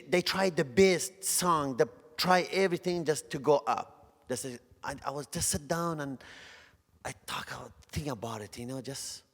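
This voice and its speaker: Kurdish-accented man giving testimony in hall. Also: on the high side. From Northern Iraq. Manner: broken English, earnest, halting restarts. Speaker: guest speaker. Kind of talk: church testimony. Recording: long church hall reverb.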